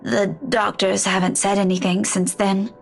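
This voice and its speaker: An actress in a story game playing a weary, distraught woman who speaks haltingly, with sighs. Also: mid-range voice, level tone, gravelly, a little hiss or hum, lightly acted. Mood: grave, weary. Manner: halting, sighing, faltering speech. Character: weary, distraught woman keeping hospital vigil over loved one. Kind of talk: dramatic game dialogue.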